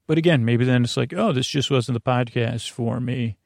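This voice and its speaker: A man with a creaky voice hosting a bedtime podcast, rambling in a silly, meandering way that trails off and circles back. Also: mid-range voice, moderate pace, a little mellow, no room sound, studio quality. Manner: silly, goofy. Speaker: podcast host.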